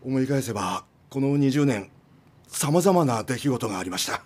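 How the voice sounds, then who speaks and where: A man's voice: mid-range voice, lively intonation, normal speed, darkish tone, husky. Male innkeeper, radio drama: ceremonious, wordy, courteous, mid-range voice. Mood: formal, wistful. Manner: stiff, ceremonious, polite. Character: long-winded, formal inn owner making a farewell speech.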